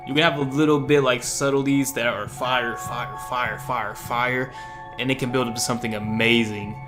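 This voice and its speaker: A young American man giving casual reaction commentary, animated and emphatic, rambling in slang. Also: medium pitch. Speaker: reaction video host. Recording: faint music behind the voice.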